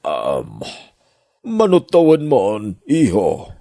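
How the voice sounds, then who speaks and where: An Ilocano-accented man playing a devoted, hesitant husband in a radio-style drama, speaking deliberately. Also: fairly low pitch, wildly swooping pitch, creaky, mellow, no echo, studio quality, exaggerated voice.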